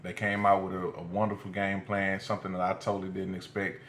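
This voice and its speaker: A slightly hoarse, raspy man giving casual, colloquial post-game football commentary as a fan vlogger. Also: low voice, completely flat delivery, fast, fairly bright tone. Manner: colloquial, often punctuates with "man", chuckles. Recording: roomy echo, light background noise.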